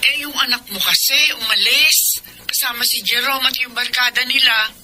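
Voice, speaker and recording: A woman's voice: fairly high pitch, steady tone, moderate pace, a crowd in the background, natural voice. A woman playing a well-meaning, meddling older household woman in a Filipino radio drama, folksy and conciliatory. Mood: apologetic, concerned. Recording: faint background noise.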